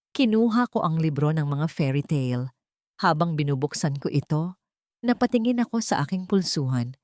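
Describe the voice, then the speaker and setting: A woman's voice: sits low, dark tone, dry recording, studio quality. A woman reading a scripted first-person dramatic story as an audio narrator.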